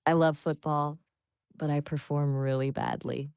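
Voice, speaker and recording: Patient female English tutor, lowish voice, close dry sound, gives clear explanations. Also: level tone, unhurried, darkish tone, dry recording. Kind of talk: encouraging language coaching.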